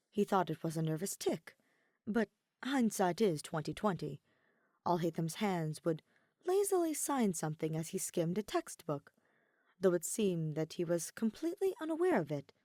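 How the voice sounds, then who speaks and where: A woman narrating a written story in an expressive, scripted reading style. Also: on the low side, dry recording, studio quality. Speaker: podfic narrator reading fan fiction.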